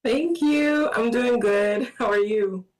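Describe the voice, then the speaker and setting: A soft-spoken woman answers a radio interviewer, her speech full of little fillers and light laughs. Her voice sits a little away from the microphone. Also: on the high side, slow. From Bahamas. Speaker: guest artist. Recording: voice somewhat distant from the mic.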